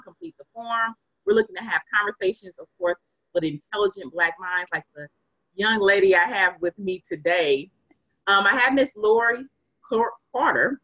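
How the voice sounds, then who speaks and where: A woman hosting an interview over a video call, upbeat and excited as she introduces her guest. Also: medium pitch, dry recording, dull audio. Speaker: host. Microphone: video call webcam.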